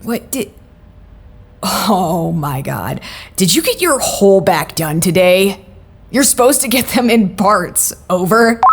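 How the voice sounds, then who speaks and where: A woman playing an exasperated, no-nonsense supervisor in an audio drama, speaking in a dry, businesslike, sometimes halting way. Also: mid-range voice, lively intonation, breathy edge, full character voice. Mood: brisk. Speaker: voice actor in a fiction podcast.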